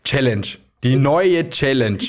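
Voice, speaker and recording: A man's voice: medium pitch, expressive, slow. A man co-hosting a podcast in joking, teasing casual chat, laughing often. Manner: teasing, laughs often, breaks into silly put-on voices. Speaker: podcast co-host.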